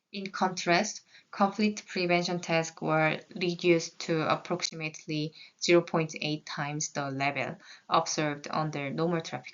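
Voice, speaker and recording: A woman's voice: lowish voice, a bit bright, clear, resonant tone. A woman whose first language is Korean, presenting research results in English, reading carefully with small halts and restarts, on a slightly dull recording. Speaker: graduate student presenting research.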